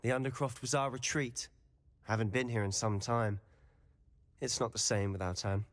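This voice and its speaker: A man's voice: medium pitch, steady tone, normal speed, natural voice. A man voicing a confident, inquisitive teenage wizard schoolboy in a video game, with a British accent and a conversational, conspiratorial manner. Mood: wistful, friendly. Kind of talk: scripted video game dialogue.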